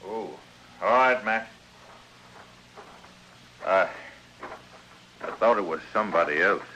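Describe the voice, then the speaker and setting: An actor playing an anxious, protective American frontier blacksmith in an old-time radio western, earnest and wary. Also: male voice, fairly low pitch, takes it slowly, pushed and bright, dull audio. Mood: subdued. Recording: vintage radio recording, faint noise.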